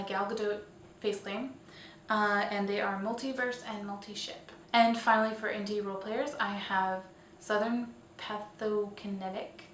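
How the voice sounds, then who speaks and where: A woman's voice: mid-range voice, fairly bright tone. A woman hosting a weekly online roundup, talking to viewers in a casual, chatty way with informal, self-deprecating asides. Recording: roomy echo, distant mic, faint noise.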